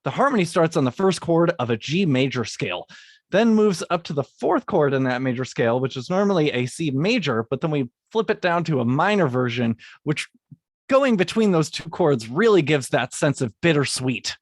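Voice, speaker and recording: A man, a guest composer, recording semi-scripted podcast narration in an explanatory way with halting phrasing. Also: on the high side, big pitch swings, dry recording, studio quality.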